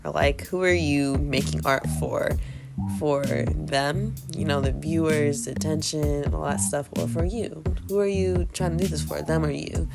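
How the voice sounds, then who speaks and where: Low-pitched woman, art YouTuber, chatty easygoing sketch-and-talk delivery, music under her voice. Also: steady tone, moderate pace, vocal fry. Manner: chatty, filler-heavy, upbeat. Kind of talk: casual chat while sketching. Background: background music.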